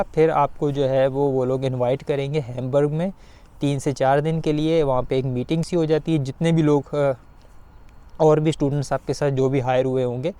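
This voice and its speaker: A man giving an informal explainer to viewers, speaking haltingly with repeated filler phrases. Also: mid-range voice, warm tone, smooth. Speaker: video guide host.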